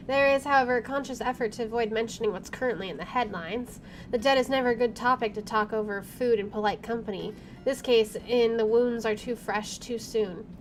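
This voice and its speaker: A woman's voice: on the high side, slight background noise. A woman gaming streamer reading visual novel narration aloud and adding casual asides.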